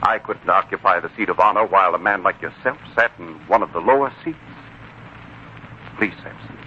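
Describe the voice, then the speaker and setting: A man's voice: sits low, close to an everyday voice. A man playing a self-assured young heir, politely cutting and formal, in a muffled, noisy 1940s radio drama. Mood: earnest, insistent. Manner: measured, pointed, formal.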